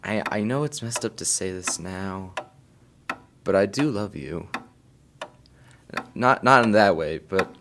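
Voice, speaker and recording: A man playing an apologetic, lovesick high school boy in an audio drama, speaking hesitantly. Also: expressive, takes it slowly, pauses often, heavily acted. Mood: regretful. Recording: noisy, hissy dialogue recording.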